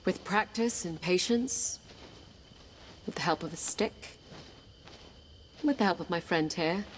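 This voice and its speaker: A woman playing a calm, earnest, determined young blind princess in an audio game. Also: on the low side, slow pace, slight background noise. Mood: calm, gentle. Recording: music behind the voice.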